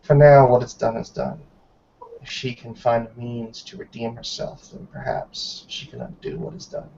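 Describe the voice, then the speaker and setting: A man voicing a stern, commanding old woman, a tribe elder, in formal measured speech for a tabletop roleplay. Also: mid-range voice, steady tone, creaky, mellow, far from the mic, lightly acted. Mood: grave authority. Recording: dull, lo-fi online call.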